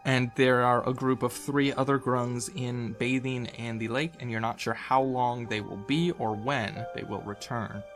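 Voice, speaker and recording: Male game master, conversational and playful, improvising narration over clearly audible music. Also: mid-range voice, steady tone, unhurried, few pauses. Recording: music bed behind the voice.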